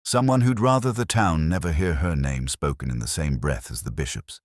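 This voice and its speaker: A man's voice: extremely low pitch, normal speed, dry recording, clean studio sound. A man voicing a fearful married woman in a mystery story, confiding in a guarded, urgent way. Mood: fearful warning.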